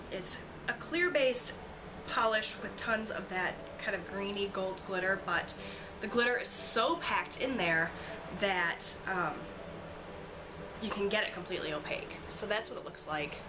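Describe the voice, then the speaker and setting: A woman's voice: mid-range voice, background noise. A young woman reviewing nail polishes in a casual, gushing way, with lots of "um"s. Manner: chatty, gushing, frequent "um"s. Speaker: beauty vlogger.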